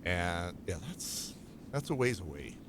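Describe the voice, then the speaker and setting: A man interviewing a guest in a relaxed, casual way and giving calm advice about microphone setup. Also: sits low, a little slow, noisy background. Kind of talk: casual chat.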